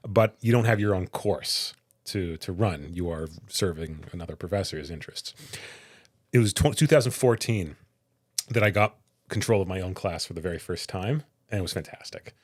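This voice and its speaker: A man who is a political science professor, answering interview questions in a big, animated voice with frequent restarts. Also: low voice, moderate pace, dry recording, studio quality.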